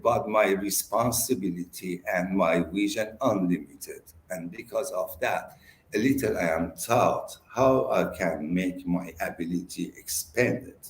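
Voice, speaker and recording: A man from Iran with an Iranian accent, a native Persian speaker giving interview answers in halting, broken English. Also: lowish voice, slight background noise. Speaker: interview guest. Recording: roomy echo.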